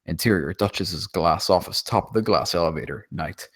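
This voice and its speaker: A man's voice: deep voice, normal speed, a bit bright. A man reading scene descriptions as the narrator of a screenplay table read. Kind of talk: scripted narration of stage directions.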